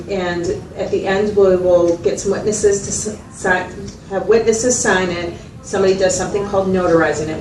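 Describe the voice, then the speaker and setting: A woman's voice: medium pitch, close to an everyday voice. A woman playing a supportive mental health clinician, explaining and reflecting back in a conversational clinical interview. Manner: reflective, explanatory, checking in with the listener. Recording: distant mic, roomy echo, loud background noise.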